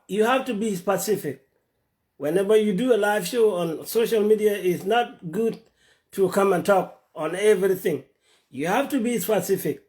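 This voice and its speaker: A middle-aged man from South Sudan hosting a Facebook Live talk on his phone, speaking haltingly and repeating words as he searches for names. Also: high voice, darkish tone. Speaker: social media host. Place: home in Atlanta.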